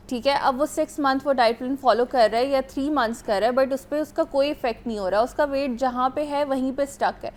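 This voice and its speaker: Explaining woman doctor, Pakistani Urdu accent. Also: sits high. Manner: explanatory, mixes English into Urdu, many fillers. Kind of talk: studio health talk.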